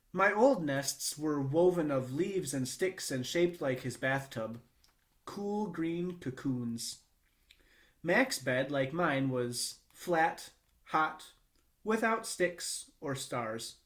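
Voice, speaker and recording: A man reading a children's book aloud in a measured, expressive storytelling manner with short pauses. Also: medium pitch. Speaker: read-aloud reader.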